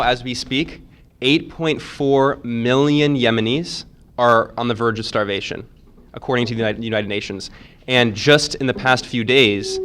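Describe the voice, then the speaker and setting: A man speaking on a conference panel while sick and congested, emphatic and forceful. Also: medium pitch. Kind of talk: conference talk. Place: conference room.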